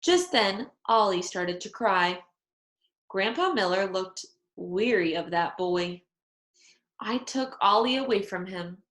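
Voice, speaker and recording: A woman reading a children's storybook aloud with deliberate, dramatized, expressive phrasing for young listeners. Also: medium pitch. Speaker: storybook reader. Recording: echoey room.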